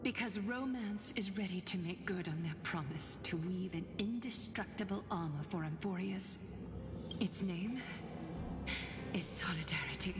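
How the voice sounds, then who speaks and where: A woman playing a proud, composed noblewoman leader in a video game, speaking in a measured, solemn, formal and unyielding way. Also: mid-range voice, natural voice. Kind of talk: dramatic video game voice acting. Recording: long hall reverb under loud music.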